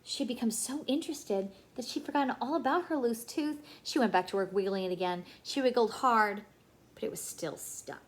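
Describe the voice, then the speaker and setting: A woman reading a children's story aloud in an expressive, animated way, pausing for emphasis. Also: fairly high pitch, slight background noise.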